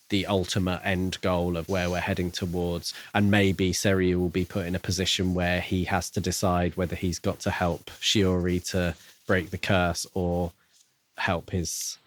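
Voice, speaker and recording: A man co-hosting a podcast, talking casually about a book in measured, hedging phrases. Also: low-pitched. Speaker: podcast co-host.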